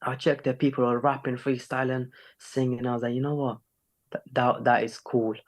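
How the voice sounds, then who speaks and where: A man's voice: mid-range voice, little pitch movement, normal speed. A man from Sweden, a rapper and singer being interviewed, chatting casually with plenty of slang filler. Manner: slangy, with frequent "innit" and "you know". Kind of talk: casual chat.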